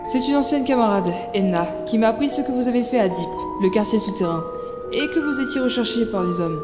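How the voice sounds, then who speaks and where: An actress playing a stern, protective, resolute mother who speaks firmly and deliberately in an audio drama. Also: fairly high pitch, lively intonation, echoey room, long reverb tail, music in the background, lightly acted. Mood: reproachful. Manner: deliberate, firm. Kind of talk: French audio drama acting.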